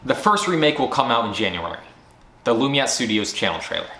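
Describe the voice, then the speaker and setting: A man's voice: mid-range voice, very animated, fairly quick, bright, forceful tone. Earnest man in a roomy, echoing space. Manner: earnest, firm and direct. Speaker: studio founder. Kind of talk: year-end recap monologue. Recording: roomy echo.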